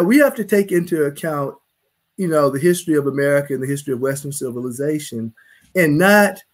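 Man, middle-aged doctor, high voice, emphatic, explanatory, webcam call from home office. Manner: emphatic, explanatory, gesturing with hands. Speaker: physician panelist. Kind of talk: panel discussion on a live video call. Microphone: video call webcam.

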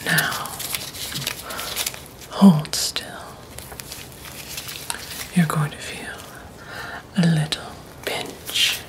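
A woman in her thirties whispers close to the mic in an ASMR roleplay. She plays a mysterious, courteous vampire bank teller, with a low voice. Her delivery is extremely slow, hushed and deliberate. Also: level tone, poor audio.

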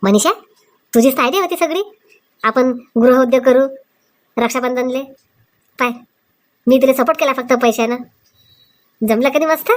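A woman's voice: high-pitched, normal speed, pauses often, lightly acted. Cartoon casting: a woman as a supportive young husband, agreeable, devoted. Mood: proud, agreeable. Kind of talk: comic cartoon dialogue.

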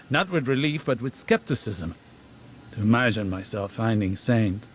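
An actor playing a solemn, reflective man devoted to his love, speaking gravely and eloquently in scripted game dialogue. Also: mid-range voice, a little hiss or hum, close to an everyday voice.

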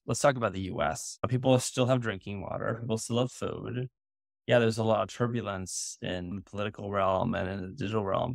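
A low-pitched male host sets up a long interview question, picking his words with care. His voice has a gravelly, creaky edge, and the mic picks him up close and dry. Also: no echo. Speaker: podcast host.

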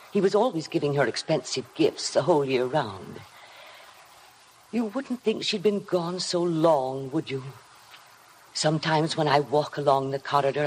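An actress playing a sinister, obsessive housekeeper in a 1950s radio drama, formal, insinuating and hypnotic in manner. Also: female voice, a bass voice. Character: sinister, obsessive housekeeper, coldly devoted to her dead mistress. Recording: vintage 1950 radio broadcast, faint noise.

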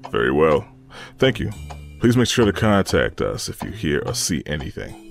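A man's voice: extremely low pitch, big pitch swings, normal speed, vocal fry, soft background music, exaggerated voice. A man playing a grave, persistent investigator in an audio drama. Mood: grave, probing.

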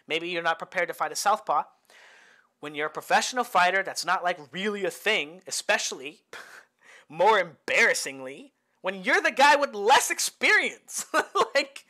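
A man's voice: sits high, pushed and bright. A man from the Bay Area, a boxing coach, talking casually through fight footage and often checking in with "right?". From Bay Area, United States. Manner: chatty, tags many lines with "right?", laughs at own jokes. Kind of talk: casual fight-film breakdown.

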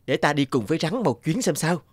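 A man playing a kind, humble young orphan peasant in a cartoon, gentle and earnest. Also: on the high side, animated, a little fast, breathy edge, no echo, studio quality. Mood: gentle, caring. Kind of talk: cartoon dialogue.

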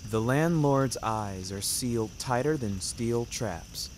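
Slow, dramatic male story narrator. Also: medium pitch, animated, a little mellow, slight background noise. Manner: dramatic, florid, deliberate. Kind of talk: scripted first-person story narration. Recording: music behind narration.

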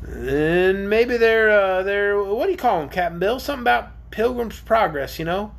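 Reader doing a curious little girl from a kids book, lively, earnest and eager to help. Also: mid-range voice, normal speed, gravelly, voice pushed up. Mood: puzzled, helpful. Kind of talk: acted storybook character.

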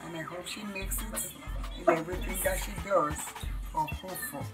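A woman's voice: on the low side, normal speed. A middle-aged woman giving an unscripted, heartfelt message of support to the camera. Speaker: proud mother giving a tribute. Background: music.

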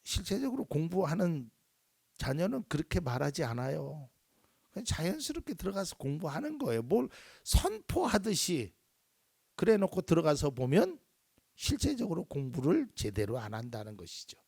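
A male pastor preaching a sermon in a lively way, acting out little quoted voices and dropping at times to a near whisper. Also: a little high, normal speed, vocal fry, breathy edge, no echo, studio quality.

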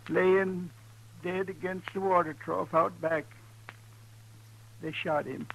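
An actor playing a frightened, simple-minded old ranch hand in a western radio drama, halting and timid. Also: male voice, sits high, fairly even intonation, gravelly, fairly bright tone, exaggerated voice. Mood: dazed, fearful. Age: older. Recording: noisy 1950s radio recording with hum.

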